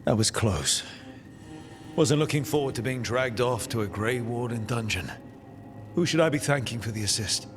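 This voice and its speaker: A man playing an earnest, level-headed hero in a cinematic fantasy game, speaking in a measured, wry, conversational way. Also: mid-range voice, animated, close to an everyday voice. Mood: relieved, curious. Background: music.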